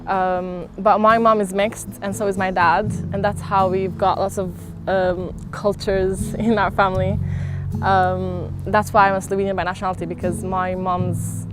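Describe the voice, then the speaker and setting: A woman's voice: medium pitch, level tone, moderate pace. Hesitant young woman, Bosnian native. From grew up in Bosnia. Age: young adult. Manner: hesitant, many fillers, light laughs. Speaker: student interviewee. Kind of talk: documentary interview. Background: music.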